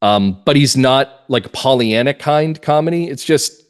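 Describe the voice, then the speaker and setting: A man's voice: medium pitch, unhurried, clear, resonant tone. A man speaking as a podcast guest and playwright, chatting casually in an interview.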